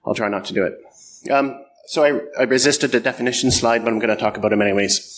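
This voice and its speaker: Middle-aged Canadian man, mid-pitch, presenting at a conference with a faint hiss behind him, loose and self-mocking, filler-heavy. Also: quick pace, poor audio. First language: French or English, bilingual. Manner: self-deprecating, joking, many ums and uhs. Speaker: conference speaker. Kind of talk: conference talk. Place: conference hall.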